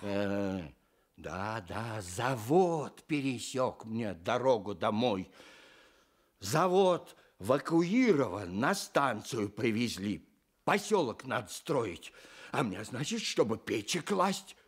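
A man's voice: medium pitch, big pitch swings, slow, husky, heavily acted. An actor playing a pious, sorrowful old village stove-builder in a radio play, telling his story earnestly with folksy sighs. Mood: protesting, pained. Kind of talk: Soviet radio play acting.

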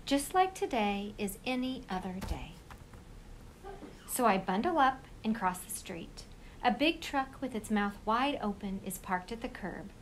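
A woman reading a children's picture book aloud in an expressive storytelling style. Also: on the high side, a little hiss or hum, low-quality recording.

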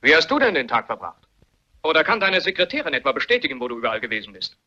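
Cocky, swooping needling brother. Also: male voice, medium pitch, big pitch swings, very fast, bright, forceful tone, exaggerated voice. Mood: needling, suspicious. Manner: sarcastic, provoking. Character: Cedric, cocky needling brother interrogating his relatives. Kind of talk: German radio mystery play acting. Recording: muffled, dull radio play recording.

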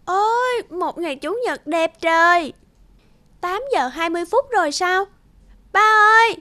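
A woman as a very high, chirpy child. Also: lively intonation, measured pace, sharp tone, full character voice. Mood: cheerful, surprised. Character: bright, chirpy young child talking to parents. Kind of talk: animated fairy-tale cartoon dubbing.

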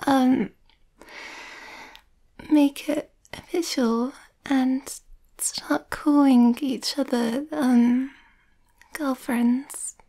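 Shy young werewolf girlfriend played by a woman for ASMR roleplay: high, mellow, hesitant and often half-whispered. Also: steady tone, extremely slow, pauses often, heavily acted. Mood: nervous, tender.

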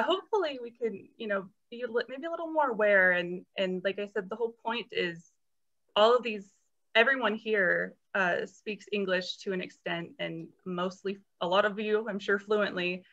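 A woman answers questions with a bright, forward tone, steady and sympathetic. Her voice comes through dull, lo-fi video call audio. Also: medium pitch. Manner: sympathetic, measured. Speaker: guest presenter answering questions.

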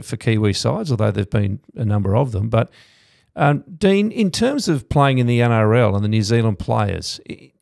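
Gravelly middle-aged male podcast host. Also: medium pitch, darkish tone, dry recording, studio quality. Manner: rambling questions, many fillers. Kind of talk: podcast interview. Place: studio. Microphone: studio mic on boom arm.

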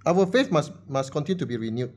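A man preaching a sermon at a church pulpit, speaking in short measured phrases and pausing for an interpreter. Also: fairly high pitch, lively intonation, darkish tone. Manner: measured, pauses often for the interpreter. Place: church sanctuary, standing at a pulpit. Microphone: pulpit microphone.

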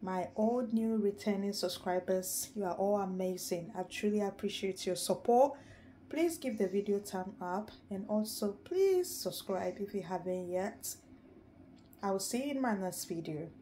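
Woman, cooking vlog narration, slow and gentle, pan sizzling loudly in a home kitchen. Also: medium pitch, lively intonation. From Ghana. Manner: gentle, unhurried, affectionate sign-off with blessings. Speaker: cooking vlogger. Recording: sizzling frying noise behind the voice.

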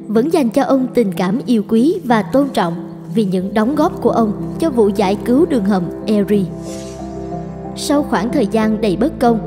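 A woman reading scripted story narration as a narrator. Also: fairly high pitch.

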